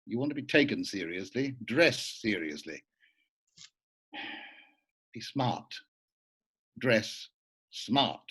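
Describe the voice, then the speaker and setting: An actor playing a measured, blunt, businesslike male lawyer in a script read over a video call. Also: on the low side, big pitch swings, a bit bright, slightly rough, heavily acted. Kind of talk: acted script table read.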